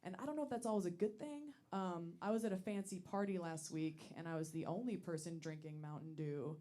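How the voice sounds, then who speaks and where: A woman in her late twenties with a deep voice doing a deadpan, self-deprecating stand-up set on a comedy club stage. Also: fairly quick. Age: late 20s.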